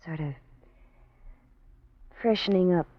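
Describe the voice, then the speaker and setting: A woman's voice: lowish voice, takes it slowly. An actress playing a world-weary, guarded young nightclub woman in a 1940s radio drama, speaking hesitantly and wistfully. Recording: dull 1940s radio recording.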